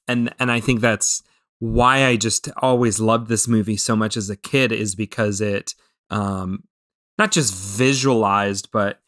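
A man's voice: lowish voice, a bit bright. An American man in his thirties from the Midwest, co-hosting a casual film discussion in an enthusiastic, rambling way. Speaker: podcast co-host. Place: small room at home, indoors. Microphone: close podcast microphone with headphones.

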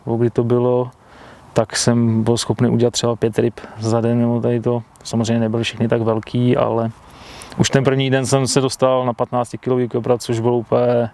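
A man's voice: mid-range voice, completely flat delivery, darkish tone. A man in his thirties, an angler being interviewed outdoors by a lake, answering in a plain, matter-of-fact way into a clip-on mic. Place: outdoors by a lake, seated at a fishing bivvy.

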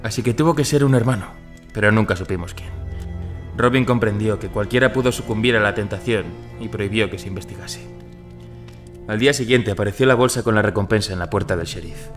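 Man playing old legend's loyal outlaw, lowish measured voice, music under it. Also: close to an everyday voice. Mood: reflective. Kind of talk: dramatized audio fiction acting.

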